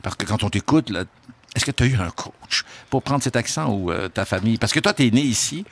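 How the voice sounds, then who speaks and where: A man's voice: medium pitch, animated, a little fast. A man with a Quebec French accent hosting a show in a playful, bantering style with hesitant interjections. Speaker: radio show host.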